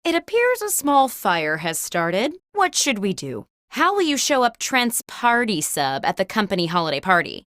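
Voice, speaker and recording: Animated, theatrical office game host. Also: female voice, mid-range voice, normal speed, few pauses, no room sound, clean studio sound. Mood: upbeat, wry. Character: chirpy, wry corporate office job interviewer woman. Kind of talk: scripted game show prompts.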